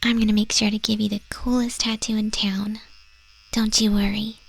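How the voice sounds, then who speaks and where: A woman voicing a friendly goth moth monster-girl tattoo artist in an ASMR roleplay, chatting gently in a soft, breathy, often whispered voice. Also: mid-range voice, level tone, normal speed, low-quality recording. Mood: friendly, reassuring. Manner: gentle, casual, chatty, reassuring. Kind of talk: ASMR roleplay, spoken to the listener. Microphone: close microphone.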